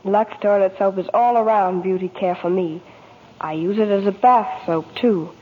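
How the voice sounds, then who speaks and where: A young woman from New York reads a rehearsed vintage radio testimonial. Her voice is genteel and husky, heard with long hall reverb on a dull recording. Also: medium pitch, normal speed. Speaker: actress guest in sponsor ad. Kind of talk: scripted 1940s radio testimonial.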